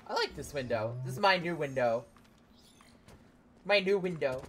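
A woman's voice: low-pitched, lively intonation, normal speed. Chatty Canadian woman gaming. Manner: chatty, laughs, swears casually. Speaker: streamer. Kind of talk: casual gameplay commentary.

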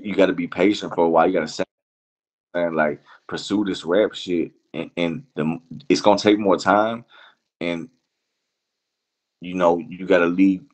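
A man with a very low voice gives a casual, reflective interview. He speaks in slang and haltingly, often circling back to repeat a phrase before moving on. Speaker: music producer being interviewed.

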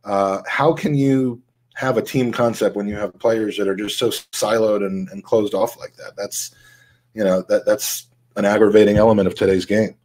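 A man's voice: fairly low pitch, fairly even intonation, normal speed, darkish tone. A middle-aged man hosting a podcast, chatting casually over a video call. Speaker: podcast host. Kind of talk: casual interview chat. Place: indoor room, on a video call.

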